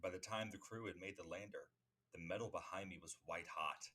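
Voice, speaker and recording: A man voicing a solemn, grieving superhero in a comic dub, speaking in a measured, formal, grave way. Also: low-pitched, pushed and bright, breathy edge, full character voice. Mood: grave, haunted. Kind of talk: dramatic comic-book dub monologue.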